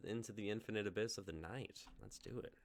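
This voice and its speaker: A man's voice: on the low side, fast, dry recording. A young man hosting a live stream, chatty and casual as he plugs links and upcoming plans. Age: young adult. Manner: chatty, plugging links and schedule. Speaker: stream host.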